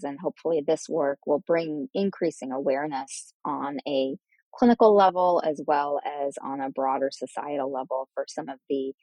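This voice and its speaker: A woman's voice: low-pitched, a little mellow, no room sound, poor audio. A woman speaking as a podcast host in a formal medical interview.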